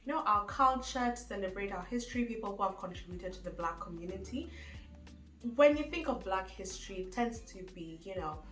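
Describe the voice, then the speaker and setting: A woman's voice: medium pitch, lively intonation, fairly quick, fairly bright tone. A young woman with a Kenyan accent, talking casually to camera on her vlog, with lots of fillers. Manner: chatty, with frequent fillers. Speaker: vlogger. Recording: echoey room, faint music behind.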